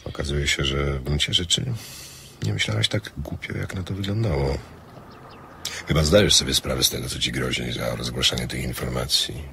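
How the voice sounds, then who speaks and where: Very deep, stern man. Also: normal speed, gravelly, a bit bright, a little hiss or hum, full character voice. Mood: stern, dry. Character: guarded man from secret counterintelligence work, stern and dry. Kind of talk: dramatized crime novel.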